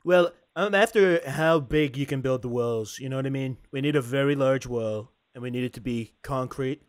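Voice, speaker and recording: A man's voice: mid-range voice, normal speed, no echo, clean studio sound, lightly acted. A man doing an impression of a brash, repetitive older male politician on a prank call. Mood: brash, insistent. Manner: repetitive, emphatic salesman-like phrasing. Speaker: prank caller. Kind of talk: improvised prank phone call.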